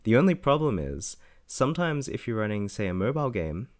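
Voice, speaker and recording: A young man, a little raspy from being sick, walking through a software tutorial in a casual, self-correcting way. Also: medium pitch, very animated, a little fast. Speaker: tutorial host. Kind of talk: screen-recorded software tutorial.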